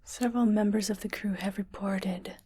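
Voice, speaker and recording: A woman playing a calm, reassuring ship's doctor in a science fiction ASMR roleplay, speaking in a breathy whisper with a measured, clinical manner. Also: medium pitch, natural voice. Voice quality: breathy, mostly unvoiced whisper. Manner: slow, measured, clinical and soothing.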